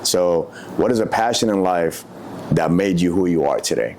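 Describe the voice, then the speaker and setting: A man's voice: deep voice, moderate pace, darkish tone, a little hiss or hum. A male host interviewing a guest in a casual way, asking plain questions and answering with short acknowledgments.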